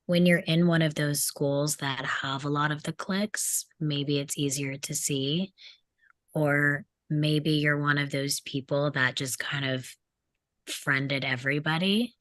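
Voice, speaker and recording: A woman's voice: sits low, steady tone, unhurried, fairly bright tone, dry recording. A woman talking casually as a panelist in a group discussion. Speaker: table read panelist.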